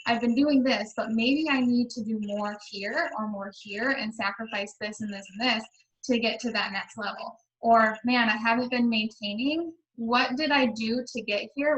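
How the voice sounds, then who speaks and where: Woman, slightly high voice, team leader on a video call, reflective and steady. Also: rough recording. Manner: reflective, talks through questions to herself. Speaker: team leader in direct sales. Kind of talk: casual group call discussion.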